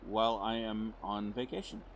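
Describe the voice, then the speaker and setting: A middle-aged man from the United States, hoarse as he recovers his voice, rambling in friendly casual commentary over a farming game. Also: on the low side, lively intonation, moderate pace, a little hiss or hum. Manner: friendly, rambling, many fillers. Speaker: gaming channel host. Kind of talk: casual let's-play commentary.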